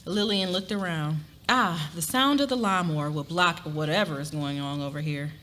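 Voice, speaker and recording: A woman's voice: low voice, normal speed, fairly bright tone. A woman narrating a scripted story expressively at a live dramatic reading. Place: live event before an audience. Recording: live reading with clear ambient noise.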